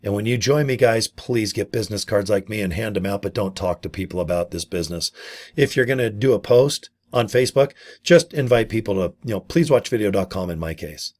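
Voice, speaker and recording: A middle-aged man with a hoarse, gravelly voice from a vocal cord injury, casually pitching his online business and often calling his viewers "guys". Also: expressive, fairly quick, darkish tone. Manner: chatty, repeats "guys" and "okay". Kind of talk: casual sales pitch to camera.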